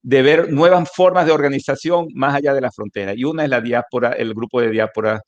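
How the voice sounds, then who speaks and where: A man's voice: mid-range voice, fairly even intonation, a little fast. Venezuelan man on a slightly dull call. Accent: Venezuelan Spanish. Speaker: interview guest, mathematics educator. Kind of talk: conversational online interview. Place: remote online call from Colombia.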